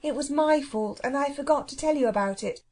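A woman voicing an earnest, kind and truthful young girl in a children's storybook, with a gentle manner. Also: fairly high pitch, animated, normal speed, husky. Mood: apologetic.

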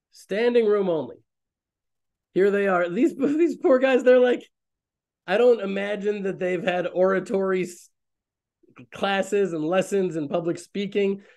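A man in his thirties, a rabbi hosting an online fellowship, talking in a loose, rambling, animated way on a webcam from a small room. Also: high-pitched. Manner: rambling, animated, self-interrupting. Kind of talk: casual online talk to a community. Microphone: webcam on a video call.

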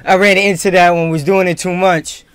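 A man's voice: high voice, level tone, normal speed, fairly bright tone, smooth, no echo. A man giving casual live gameplay commentary as a streamer, thinking aloud in informal, slangy talk. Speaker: video game streamer.

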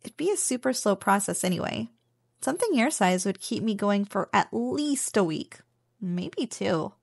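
A woman's voice: medium pitch, big pitch swings, moderate pace, gravelly. A woman playing a playful, teasing, possessive slime girl roommate in an ASMR roleplay, coaxing and mock-dismissive. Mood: playful, reassuring. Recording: echoey reverberant sound, loud music behind. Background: loud background music.

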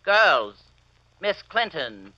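An actor playing a fussy, ineffectual office manager, formal and hesitant, in a vintage radio comedy. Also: male voice, a little high, sing-song, very slow, very noisy, full character voice. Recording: muffled, lo-fi old radio recording.

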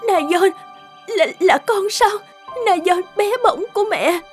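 A fragile young mother full of grief and guilt in a cartoon drama. Her voice is extremely high, plaintive and emotional, over clear music. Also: measured pace. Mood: tearful, overwhelmed. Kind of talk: melodramatic cartoon drama acting. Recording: studio voice under loud music.

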